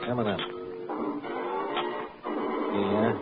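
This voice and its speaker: A man's voice: fairly low pitch, little pitch movement, very slow. An actor playing a belligerent, dim henchman bartender who repeats himself in slangy tough talk, in an old-time radio crime drama. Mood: belligerent, sneering. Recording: tinny old radio broadcast, background music.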